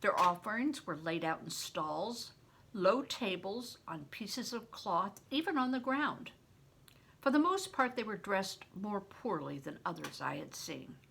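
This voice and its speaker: A woman's voice: mid-range voice, fairly bright tone, slightly rough. An older woman, a grandmother, reading a story aloud expressively, as if to children. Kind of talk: read-aloud audiobook narration with plain asides.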